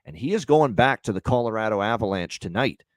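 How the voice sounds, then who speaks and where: Low-voiced energetic sports betting host. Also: male voice, brisk, dry recording. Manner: energetic, repeats himself, many "uh" fillers. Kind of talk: solo podcast promo and picks.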